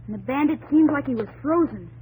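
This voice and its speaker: An earnest young pioneer boy telling his story in an old radio play. The voice is high and eager. Loud hiss runs through the old broadcast. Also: animated, fast, phone-quality sound. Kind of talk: 1950s radio drama narration.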